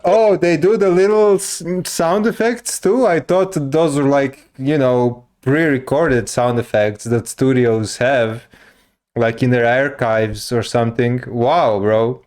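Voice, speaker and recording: A man's voice: mid-range voice, slow pace, darkish tone. A man in his thirties hosting a reaction video, talking casually with lots of fillers and frequent laughs. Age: 30s. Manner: rambling, filler-heavy, laughs often. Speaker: reaction channel host.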